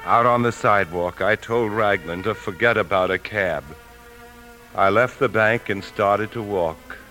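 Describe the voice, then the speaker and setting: An American actor playing a weary, guilt-ridden husband and bank man, narrating gravely in a 1950s radio drama. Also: lowish voice, unhurried, close to an everyday voice, rough recording. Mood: grave, confessional. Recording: 1950s radio broadcast with music.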